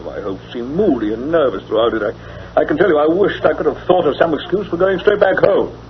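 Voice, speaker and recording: An actor playing a pompous, indignant English bachelor gentleman in old-time radio drama style, speaking formally. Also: fairly high pitch, animated, a little fast, natural voice. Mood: aggrieved recounting. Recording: dull lo-fi old radio recording.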